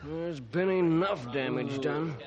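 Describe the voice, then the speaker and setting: A man's voice: fairly high pitch, slow pace, crowd noise. A man playing a sober, concerned helper of a ranger in a 1950s radio adventure, on a dull old recording.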